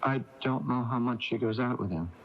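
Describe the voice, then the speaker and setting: A man's voice: medium pitch, brisk, close to an everyday voice. Man acting a hesitant, strained young son, muffled radio. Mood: guarded. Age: young adult. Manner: hesitant, stammering, evasive. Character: strained, withdrawn young son of bickering parents. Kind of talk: 1980s radio drama acting. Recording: dull, noisy taped AM radio broadcast.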